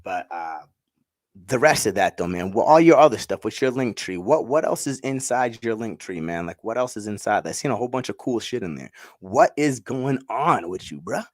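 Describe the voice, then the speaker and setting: A man's voice: on the low side, lively intonation, normal speed, no echo, clean studio sound. Hyped slangy man hosting casual chat. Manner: hyped, slangy, repeats himself. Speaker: host.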